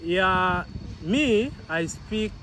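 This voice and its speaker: A man's voice: sits high, very slow. A man in his thirties from Uganda, a reggae artist answering interview questions and laughing often. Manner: laughs often, animated, repeats himself. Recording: outdoor street noise.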